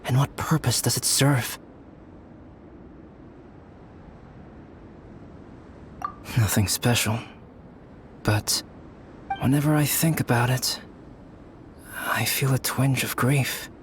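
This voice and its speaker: An actor playing a calm, reflective young alchemist in a video game, measured and softly formal in his delivery. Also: mid-range voice, a little hiss or hum, lightly acted. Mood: pensive, melancholy. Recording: faint music behind the voice.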